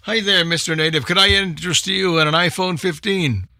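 A man's voice: sits high, fairly even intonation, fairly quick, pushed and bright. A man presenting a radio show in casual group chat, thinking aloud in a hesitant way.

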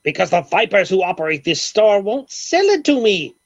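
A grandiloquent, obsessive rich eccentric in an old-time radio comedy. The voice sits fairly high and swings dramatically through flowery, formal phrases. The recording is dull and dated. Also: male voice, sing-song, moderate pace, full character voice. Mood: conspiratorial, dramatic. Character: pompous eccentric millionaire, obsessed bird egg collector.

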